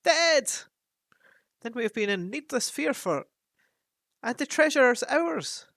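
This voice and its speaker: A woman shouting as overjoyed dwarves. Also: high voice, lively intonation, a little slow, vocal fry, no echo, clean studio sound, voice pushed up, heavily acted. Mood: elated. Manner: shouting in loud joy. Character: crowd of excited male dwarves.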